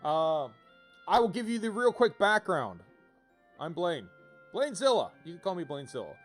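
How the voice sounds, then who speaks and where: Higher-pitched man livestreaming over music. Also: big pitch swings, normal speed. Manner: rambling, wry, playful asides. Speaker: game livestreamer. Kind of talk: casual livestream commentary, reading game text aloud.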